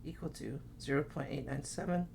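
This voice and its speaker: Gravelly-voiced man losing his voice. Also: medium pitch, fairly quick, darkish tone, slight background noise. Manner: talks through problems step by step, saying okay often. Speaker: chemistry teacher. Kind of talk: recorded classroom lecture.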